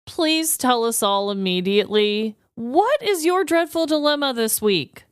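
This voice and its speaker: A woman with a fairly high, very lively voice chats as a comedy podcast co-host. She speaks expressively at an unhurried pace, stacking emphatic lists with casual swearing. The sound is smooth and clear. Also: no echo, clean studio sound.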